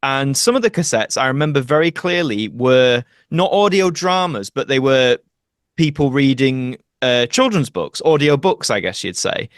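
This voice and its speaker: A man's voice: mid-range voice, lively intonation, moderate pace, no echo, studio quality. A young man talking casually as a podcast guest, chatty and full of anecdote. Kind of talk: casual interview chat, reminiscing.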